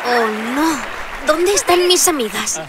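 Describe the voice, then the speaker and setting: A woman's voice: high-pitched, lively intonation, slow pace, over loud music, over a noisy crowd. A woman with a Castilian Spanish accent voicing an earnest, downhearted young female puppy athlete in a children's cartoon.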